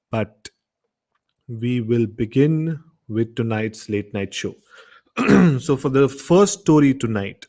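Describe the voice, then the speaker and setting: Man, Indian accent, unhurried pace, horror show host, casual unscripted talk, repeats himself for emphasis. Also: mid-range voice, a little mellow. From Goa, India. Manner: repetitive, emphatic phrasing. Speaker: host of a late-night horror radio show. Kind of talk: casual hosting, unscripted introductions.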